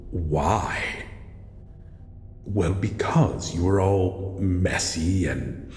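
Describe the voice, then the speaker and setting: A man voicing a grumpy, wary shadow monster in an audio roleplay, speaking in a halting, brooding way as it guards its old house. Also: low-pitched, creaky, a little mellow, heavily acted. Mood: defensive, brooding. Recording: echoey hall reverb, faint background noise.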